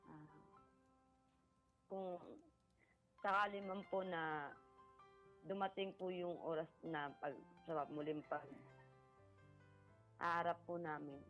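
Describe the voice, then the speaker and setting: A woman calling in to a radio show, speaking haltingly and respectfully about her own situation. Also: lowish voice, steady tone. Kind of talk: personal account, halting and respectful. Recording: muffled call line, music behind.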